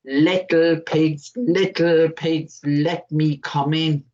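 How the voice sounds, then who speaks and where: A big bad wolf from a storybook, sly and threatening, voiced by a woman who drops her voice low and stretches every word. His huffing threats come slow and heavy. The recording sounds dull and lo-fi. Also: fairly even intonation, dark tone. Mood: menacing. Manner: slow, drawn-out, growling threats.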